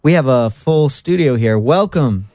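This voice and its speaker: A man's voice: medium pitch, animated, measured pace, a little mellow. A man hosting a casual radio interview in a studio, friendly and chatty with fillers. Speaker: radio host.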